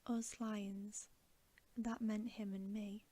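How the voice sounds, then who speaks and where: A woman plays an eager storybook lion, bursting with pride. The beast gushes and repeats himself in a soft whisper, still slow and mellow. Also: medium pitch, level tone. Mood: thrilled, proud. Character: eager, proud, simple-hearted male lion follower. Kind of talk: whispered ASMR storybook reading.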